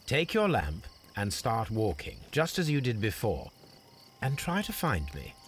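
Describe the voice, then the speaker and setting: Brisk british detective for a video game, formal n precise, swoopy delivery, faint crickets behind. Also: male voice, medium pitch, huge pitch swings, a little hiss or hum. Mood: instructing. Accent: British English. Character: brisk, commanding male gentleman detective.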